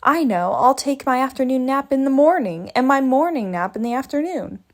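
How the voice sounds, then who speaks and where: A woman's voice: high voice, animated, normal speed. A woman reading aloud as a lazy, sarcastic male cartoon cat, with dry, wisecracking, grumbling lines. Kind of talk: storybook read-aloud.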